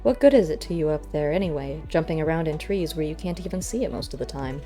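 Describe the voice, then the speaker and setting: A woman's voice: low voice, expressive, fast, warm tone, soft background music. A woman voicing a practical male animal investigator, matter-of-fact and probing, in an audiobook story. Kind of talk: audiobook character voice.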